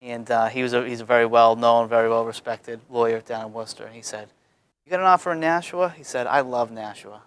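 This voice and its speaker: Smooth, flat-voiced man in his thirties. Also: mid-range voice, fast. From Massachusetts. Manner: relaxed, storytelling with fillers. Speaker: newly elected alderman. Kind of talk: casual interview chat.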